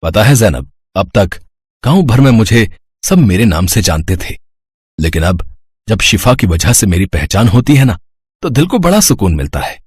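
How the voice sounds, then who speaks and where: A man as a humble, devout village dad in a cartoon moral tale. He sounds low and husky, with expressive, wide-ranging intonation and an earnest, tender manner. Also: normal speed, no echo. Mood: proud, tender. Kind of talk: animated Hindi moral story acting.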